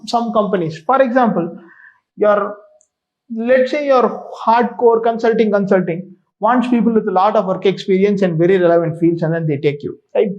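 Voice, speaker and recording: A man's voice: high voice, a little mellow, lo-fi sound. A man with an Indian accent giving an informal, explanatory coaching talk in an emphatic, repetitive manner, often tagging points with a checking question. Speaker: exam coach and mentor. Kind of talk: informal explanatory lecture to students.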